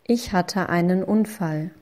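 A woman reading short phrases slowly and clearly, saying each one twice for learners. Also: fairly low pitch, a little mellow. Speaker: language lesson voice. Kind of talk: slow repeated phrase reading.

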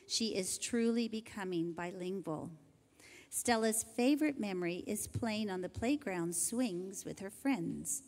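An older woman teacher reading graduation introductions into a handheld microphone, in a gentle, measured way. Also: mid-range voice. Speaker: kindergarten teacher. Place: large church sanctuary. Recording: hall reverb from a church PA.

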